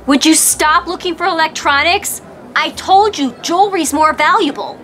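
A woman's voice: high voice, normal speed, fairly bright tone, a little hiss or hum. A woman playing a sly thieving flight attendant. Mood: scheming. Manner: sly and falsely sweet. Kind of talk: scripted comedy sketch acting.

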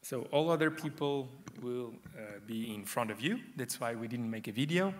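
A man's voice: medium pitch, lively intonation, normal speed. A young man presenting his association's board candidates from a lectern in a conference hall, speaking loosely with many fillers.

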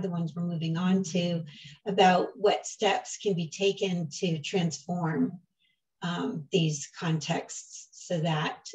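A woman runs an online session and hands over to the next presenter. She sounds set back from her laptop mic, speaking in an unhurried, halting way full of fillers. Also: lowish voice, a little mellow, distant mic, poor audio. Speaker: session facilitator. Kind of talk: moderating an online symposium session.

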